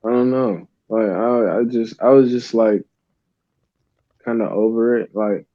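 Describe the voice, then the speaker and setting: A man's voice: mid-range voice, fairly even intonation, unhurried, no echo. A young man from Uganda, a guest artist, talking in a laid-back, rambling way with many fillers. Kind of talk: casual interview chat. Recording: dull lo-fi dry sound.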